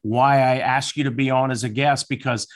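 A man's voice: mid-range voice, fairly even intonation, normal speed. A man hosting a casual interview, asking questions in an encouraging way. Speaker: podcast host.

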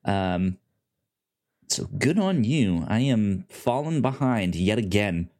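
A podcast co-host chatting casually about TV in a halting way, often repeating words mid-sentence. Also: medium pitch, sing-song, a little mellow.

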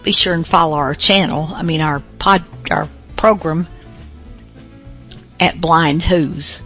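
A woman hosts a podcast in a casual, off-the-cuff way. Her lowish voice has plenty of fry and moves very slowly, with hesitant, rambling phrases over faint music. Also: fairly even intonation, fairly bright tone. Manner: hesitant, rambling, pausing often. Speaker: podcast host. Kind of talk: casual, unscripted show introduction.

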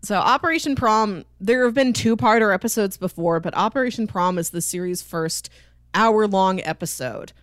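A woman co-hosting a podcast in casual chat, telling stories in an animated way and acting out quoted dialogue. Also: mid-range voice, moderate pace, no room sound, clean studio sound. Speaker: podcast co-host. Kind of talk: casual chat about a TV cartoon.

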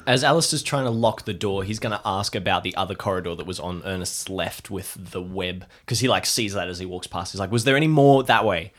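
A man's voice: sits low, fairly quick, hardly any pauses, a bit bright. A man playing in an improvised audio drama game, casually describing and voicing his character's moves. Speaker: player in an audio drama roleplay. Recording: clear music behind the voice. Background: music.

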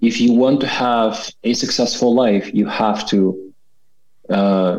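A man's voice: lowish voice, normal speed, a little mellow. A man in his forties from Kazakhstan, giving a reflective personal account as a guest and often checking in with "right?". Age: 40s. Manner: thoughtful, often ends with "right?". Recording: dull lo-fi sound.

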